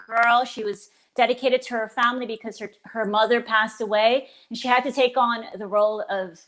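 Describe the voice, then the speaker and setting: A woman in her forties from the Philippines, a stage performer chatting in an interview. Her voice sits a little high, and she talks warmly and eagerly, often restarting her sentences. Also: fairly even intonation, normal speed, poor audio. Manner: warm and enthusiastic, with frequent restarts. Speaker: guest performer. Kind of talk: casual interview.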